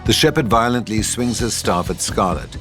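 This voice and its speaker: A man's voice: fairly low pitch, lively intonation, moderate pace, music in the background. A man giving scripted narration of stage directions for an audio drama.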